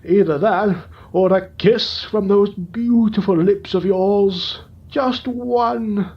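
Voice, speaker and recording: A man's voice: sits high, slow pace, pitched above the performer's own voice. A man voicing a lovesick old townsman groaning about his gout, with a theatrical, fawning manner, in an audio drama. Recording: dull lo-fi recording.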